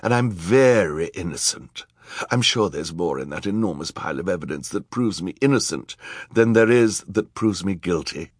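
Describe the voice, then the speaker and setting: A man's voice: deep voice, expressive, moderate pace, husky, dry recording, clean studio sound. A man playing a vain, self-important villain in an audiobook, pompous and grumbling. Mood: insistent, smug. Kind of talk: audiobook character acting.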